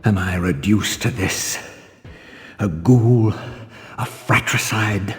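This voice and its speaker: A proud vampire wraith speaks in a low voice, measured and bitter. The delivery is formal, eloquent and theatrical, like a gothic video game, with long reverb. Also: male voice. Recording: long reverb, music behind.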